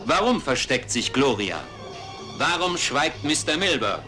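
Vintage crime trailer announcer, male, theatrical and menacing, set against clear dramatic film music. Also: on the high side, sing-song, measured pace. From Berlin, Germany. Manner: ominous, sensational, theatrical delivery.

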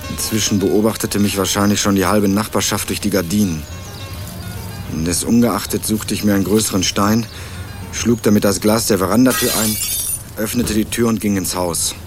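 Guy acting as young student narrator in radio crime play, lowish voice, wry measured telling, music behind. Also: fairly even intonation, natural voice. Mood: uneasy, observant. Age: young adult. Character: young male student turned amateur sleuth, sober and wry. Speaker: narrator and protagonist. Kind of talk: scripted first-person crime radio drama narration. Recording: music under the voice, 1980s radio production.

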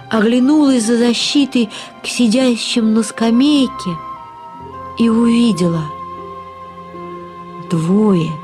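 A woman narrates literary fiction in a fairly high voice. Her delivery is expressive and reflective, with deliberate phrasing. Music plays faintly behind her. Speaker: story narrator, actress.

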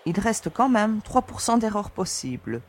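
An actress playing a shrewd, confident young woman explorer who speaks in a calm, assured and mildly teasing way. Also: medium pitch, moderate pace. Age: young adult. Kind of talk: audio drama acting.

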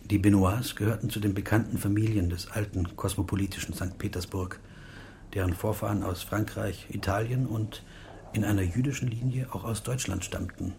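Grave Russian dissident, lowish voice. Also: male voice, fairly even intonation, normal speed, creaky, darkish tone, slight background noise. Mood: quiet confession. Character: grave, bitter Russian dissident intellectual, former naval officer. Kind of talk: radio drama monologue.

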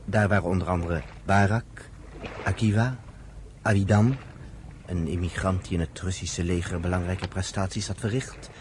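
A man's voice: low-pitched, steady tone, normal speed, slight background noise, close to an everyday voice. An actor playing a man intimately telling his family's story in a Dutch radio play. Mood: tender, reflective.

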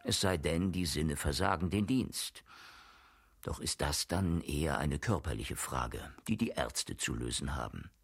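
A man's voice: rumbling low, unhurried, creaky, breathy edge, heavily acted. An actor playing a worldly, cynical male friend who lectures with learned irony in a declamatory radio play style. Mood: sardonic lecturing. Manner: rhetorical, declamatory, sardonic. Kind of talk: German radio play acting.

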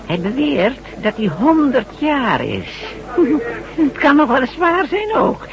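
A man's voice: very high-pitched, huge pitch swings, slow, highs cut off, exaggerated voice. An actor playing a helpful, polite and precise male local assistant in a radio drama. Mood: polite, chatty.

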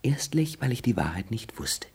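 Pert servant, raspy gravelly voice, lively pitch, old radio play. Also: male voice, moderate pace, heavily acted. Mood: cheeky. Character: sly young male servant, cheeky. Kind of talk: 1960s radio acting. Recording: old 1960s radio recording.

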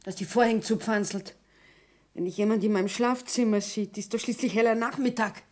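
A woman's voice: a little high, fairly even intonation, a little fast, a little mellow, natural voice. An actress playing a practical, demanding Bavarian landlady in a plain-spoken, nagging way, in 1960s German radio drama style. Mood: impatient, pressing. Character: practical, demanding tavern landlady with a secret lover. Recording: noisy 1960s radio play recording.

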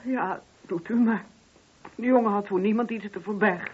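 A woman's voice: medium pitch, moderate pace, husky. A woman playing a talkative, grieving older mother in a radio drama, speaking colloquially and emphatically. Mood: mournful, proud. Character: chatty grieving older mother of four sons, indignant. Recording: dull, muffled old radio drama recording.

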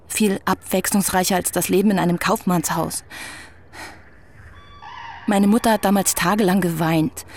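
An actress playing a grown daughter in a radio play, with a slightly creaky voice and a composed, measured, quietly firm manner. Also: female voice, on the low side, a little hiss or hum. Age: 30s. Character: grown daughter, calm and resolute.

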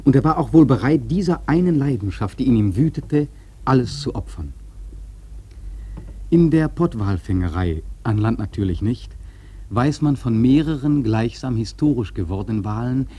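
An actor playing an earnest, reflective seafaring narrator in a radio play, with measured, rhetorical literary delivery and a husky, mellow voice. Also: male voice, mid-range voice, expressive. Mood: grave, musing.

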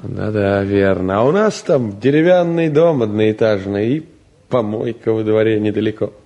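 A man acting a blunt, gruff young provincial in a 1950s radio play, with a brusque, plain-spoken manner. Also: on the low side, lively intonation, moderate pace, mellow, highs cut off. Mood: reserved. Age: young adult.

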